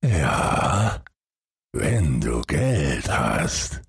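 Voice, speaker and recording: A man voicing a menacing, ancient undead ghoul in a storybook tale, gravelly, with slow threatening delivery. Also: rumbling low, big pitch swings, no room sound, studio quality, pitched below the performer's own voice, full character voice. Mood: sinister. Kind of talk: storybook character voice.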